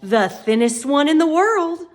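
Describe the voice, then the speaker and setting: A woman voicing a chatty, confused ordinary man who rambles through evasive answers, pitching her voice high and lively. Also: moderate pace. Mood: bright, cheerful.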